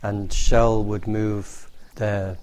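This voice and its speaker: A middle-aged man answering interview questions in a measured, thoughtful way, often restarting his sentences. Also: lowish voice, little pitch movement, a little mellow. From born in Borneo, grew up in Indonesia. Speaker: film production designer.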